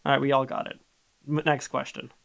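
A man with a lisp co-hosting a casual podcast, quick to object and bicker playfully. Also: mid-range voice, completely flat delivery, creaky, fairly bright tone, no echo. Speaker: podcast co-host.

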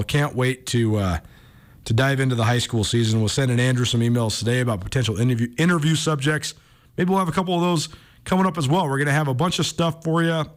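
A man speaking as a sports radio host, giving informal, enthusiastic commentary in a conversational style. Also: on the high side.

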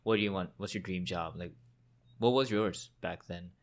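A man hosts a casual podcast interview, asking questions in a relaxed, easygoing way. His voice sits a little low. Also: moderate pace. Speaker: podcast host.

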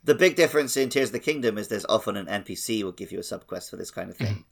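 A man's voice: fairly low pitch, expressive, racing, gravelly, a little hiss or hum. A man co-hosting a podcast in casual, enthusiastic gaming discussion. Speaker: podcast host. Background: background music.

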